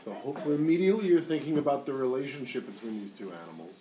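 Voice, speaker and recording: A man's voice: medium pitch, rapid-fire. A man presenting a picture book to young listeners as a teacher would, informally explaining and prompting them. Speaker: teacher reading aloud to children. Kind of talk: informal picture-book read-aloud with commentary. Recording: roomy echo, distant mic, faint noise.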